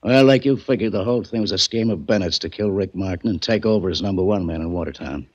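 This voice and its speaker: A husky police lieutenant. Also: male voice, sits low, fast talker. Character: gruff male police lieutenant, brisk. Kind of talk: 1940s radio acting. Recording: dull old radio recording.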